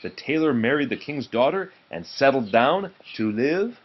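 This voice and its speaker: A man reading a children's storybook aloud in an expressive narrating style. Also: mid-range voice, normal speed, lo-fi sound. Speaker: storybook reader.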